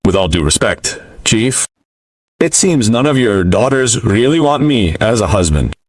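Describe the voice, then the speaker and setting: A man's voice: on the low side, big pitch swings, unhurried, dry recording, pitched above the performer's own voice. A man reading the lines of an uneasy, polite young cowboy.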